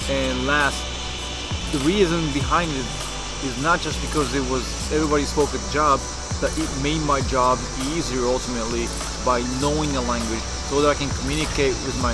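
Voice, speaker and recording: A man's voice: medium pitch, low-quality recording. Japanese-accented man talking over music. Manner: relaxed, unhurried, thinks aloud with fillers. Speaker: vlogger. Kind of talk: casual talk to camera.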